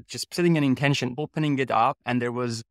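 A man in his thirties from Iran, raised in Canada, talking as a guest researcher over a video call from a home office, speaking haltingly with many fillers. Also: mid-range voice, dry recording, studio quality. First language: Persian. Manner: hesitant, searching for words with many fillers. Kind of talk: relaxed video-call interview.

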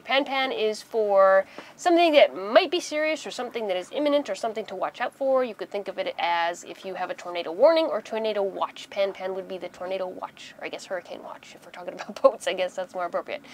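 Female instructor, thirties, medium pitch. Casual explainer delivery, chatty and conversational, small-cabin lapel-mic sound. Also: a little hiss or hum. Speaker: sailing vlogger and instructor. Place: small wood-panelled boat cabin, indoors.

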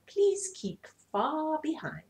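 A woman's voice: high voice, big pitch swings, takes it slowly, darkish tone, pitched above the performer's own voice, exaggerated voice. A woman voicing a haughty male peacock in a children's storybook, pompous and commanding. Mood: self-important. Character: haughty male peacock, self-important star of the parade. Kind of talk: children's storybook read-aloud.

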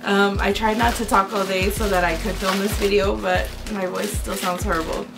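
A young woman in her twenties with a hoarse, raspy voice from a sore throat, chatting casually to camera about her shopping haul and filling her talk with "um" and "like.". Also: mid-range voice, little pitch movement, moderate pace, over music. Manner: chatty, many "um" and "like" fillers. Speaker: beauty vlogger.

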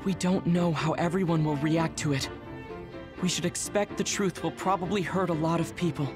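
Man acting measured young strategist over loud music. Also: on the high side, fairly even intonation, natural voice. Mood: measured, serious. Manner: measured, analytical. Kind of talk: English-dubbed anime game acting.

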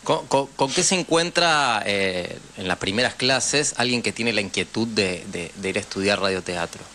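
Bright-voiced Argentine man, halting interviewer. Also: lowish voice. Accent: Rioplatense Argentine Spanish. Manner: halting, repeats words as he forms questions. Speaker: radio host, interviewer. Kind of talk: conversational radio interview.

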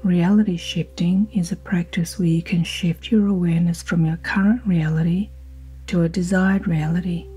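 A woman guiding a sleep hypnosis in a slow, soothing, soft-spoken manner with breathy phrase endings. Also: fairly low pitch, fairly even intonation, rough recording. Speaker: hypnosis guide. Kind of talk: guided sleep hypnosis narration. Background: ambient music with singing bowl tones.

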